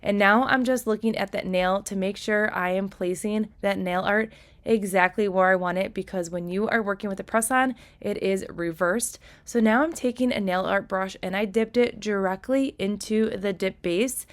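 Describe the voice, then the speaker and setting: A young woman talks through her tutorial in a bubbly, chatty voice-over. Her voice is a little raspy, and her pitch sits in an ordinary middle range. She gushes freely and scatters casual fillers as she goes. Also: moderate pace. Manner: enthusiastic, chatty, lots of "like" and "kinda". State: slightly raspy voice. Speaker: nail tutorial creator.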